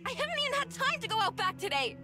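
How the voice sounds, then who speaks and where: Very high-pitched fiery young clerk. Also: female voice, big pitch swings, racing. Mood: indignant, defiant. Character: fiery, foul-mouthed young woman shop clerk, indignant. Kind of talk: anime-style visual novel voice acting. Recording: music behind.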